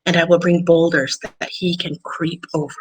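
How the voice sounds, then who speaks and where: A woman voicing an eager young boy with big plans in a gentle picture-book read-aloud. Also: lowish voice, steady tone, normal speed, a little hiss or hum, lightly acted, poor audio. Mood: earnest.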